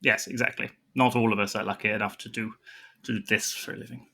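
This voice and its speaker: A man's voice: lowish voice, steady tone, fast, vocal fry, sharp tone. A man improvising dramatic narration and banter in a comedy audio drama, with a hammy, flustered manner.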